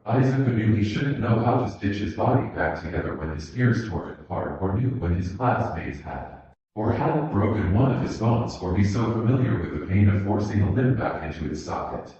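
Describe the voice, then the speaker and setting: A low-pitched man narrates a story as if for an audiobook. His voice rings with heavy room echo, and the sound is somewhat muffled. He reads from a written text as a fan fiction narrator. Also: lively intonation, normal speed, dark tone. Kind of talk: scripted audiobook-style narration. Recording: muffled, very echoey room recording.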